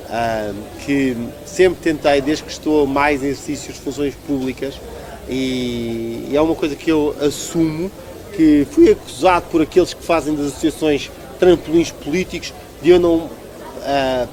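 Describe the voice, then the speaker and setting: A man's voice: a little high, a little mellow. A man with a European Portuguese accent giving a conversational political interview as a local politician, arguing emphatically and repeating phrases for stress.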